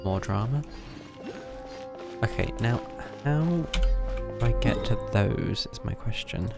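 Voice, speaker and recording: A man's voice: medium pitch, animated, takes it slowly, vocal fry. A British man giving casual live game commentary, muttering his thoughts aloud with dry understatement. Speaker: game livestreamer. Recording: game music behind the voice. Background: video game music.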